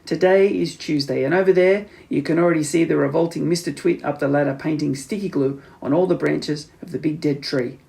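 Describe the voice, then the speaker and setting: A man plays an anxious caged monkey from a storybook. The delivery is urgent and exclamatory, in a middle-to-raised pitch. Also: fairly quick, gravelly, few pauses, dark tone, close to an everyday voice. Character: anxious captive father monkey, warning and pleading urgently.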